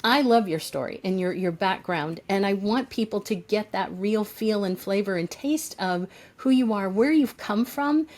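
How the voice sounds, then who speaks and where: Gushing woman host, mid pitch, reads an intro then chats. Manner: effusive, warmly praising. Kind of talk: reading a guest introduction, then chat.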